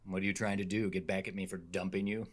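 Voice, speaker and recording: A man's voice: sits low, little pitch movement, fast talker. An actor playing a nervous male bank clerk, the woman's ex, awkward and laughing nervously in a radio drama.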